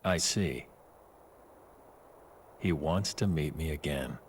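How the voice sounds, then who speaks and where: A menacing, aloof video game villain with an extremely deep voice. He speaks slowly and deliberately, taunting in a cold, calm way. Faint noise sits behind the voice. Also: full character voice. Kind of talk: video game cutscene acting.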